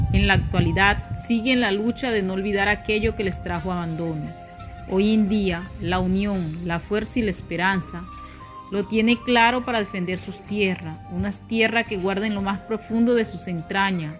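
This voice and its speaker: Clear music plays behind a woman narrator. She reads a radio drama script in a middle-pitched voice, solemn and measured.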